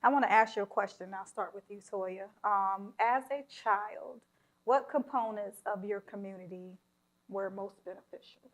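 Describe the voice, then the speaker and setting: A woman's voice: mid-range voice, moderate pace, poor audio. A woman hosting a casual podcast chat, asking questions and sharing her own memories with many fillers. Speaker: podcast host.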